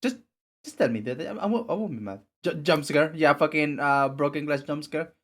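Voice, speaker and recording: A young man with a raspy voice streaming casually, rambling with many fillers and restarts. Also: on the high side, normal speed. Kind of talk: casual live-stream chat and reading game text.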